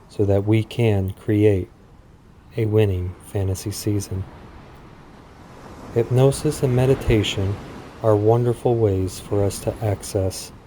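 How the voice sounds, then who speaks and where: A man speaking in a soothing, measured, deliberate way with long pauses, as in a guided sleep meditation. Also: lowish voice, warm tone, slight background noise. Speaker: podcast host. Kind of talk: guided sleep meditation, parody hypnosis script.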